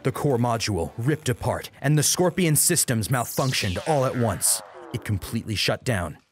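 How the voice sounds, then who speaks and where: A man's voice: mid-range voice, lively intonation, normal speed. A man reading a dramatic action story as an audiobook narrator. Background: music under the voice.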